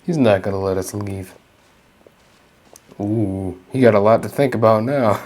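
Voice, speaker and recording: A man's voice: lowish voice, unhurried, darkish tone, a little hiss or hum. A man giving casual, laid-back commentary over his gameplay, with mumbled asides. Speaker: gaming youtuber.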